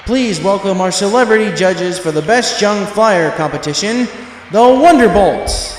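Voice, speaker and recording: A man voicing an excitable stadium announcer in a storybook, with grand, drawn-out showman delivery. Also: sits high, roomy sound, long reverb tail, a faint crowd, slight background noise, voice pushed up, rough recording. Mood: booming, excited. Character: booming, excitable stadium announcer at a flying contest.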